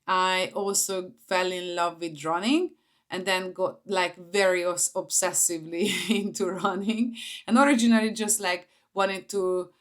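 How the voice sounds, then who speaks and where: Woman, 40s, Hungarian accent, fairly low voice, informal personal vlog storytelling. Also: steady tone, unhurried, fairly bright tone. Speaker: vlogger, entrepreneur.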